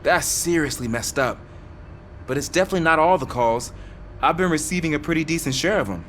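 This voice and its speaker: An earnest cop, noisy background. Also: male voice, on the high side, animated, brisk, a bit bright. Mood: earnest, casual. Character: earnest, helpful male police dispatch officer. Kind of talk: video game cutscene acting.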